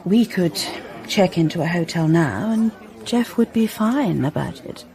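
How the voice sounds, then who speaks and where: A woman's voice: lowish voice, animated, unhurried, dark tone, a faint crowd. A woman acting in a radio drama as a frank, flirtatious middle-aged socialite with an arch manner. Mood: frank, cool. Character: seductive, worldly married socialite, frank and predatory.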